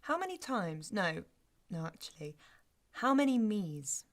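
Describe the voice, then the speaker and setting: A woman's voice: medium pitch, sing-song, unhurried. A woman with a British accent acting a wary, bereaved first date in an audio drama, dry, sarcastic and probing. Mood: wry, hurt. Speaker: actor in a sci-fi audio drama. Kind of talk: naturalistic audio drama dialogue.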